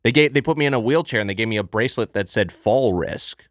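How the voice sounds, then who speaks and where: A man co-hosting a podcast, laid-back and wry, chiming in with steady affirmations. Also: mid-range voice, quick pace, dry recording, clean studio sound. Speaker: podcast co-host. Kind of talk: casual comedic chat.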